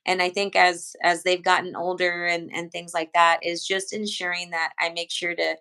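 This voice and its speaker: A woman from San Antonio, a coach, answers questions in a bright, smooth voice that stays fairly flat and low. She talks earnestly and loosely, with plenty of ums and restarts. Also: normal speed. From San Antonio, Texas. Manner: earnest, rambling with many fillers and restarts. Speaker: head coach, interview guest. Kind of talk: casual interview answers.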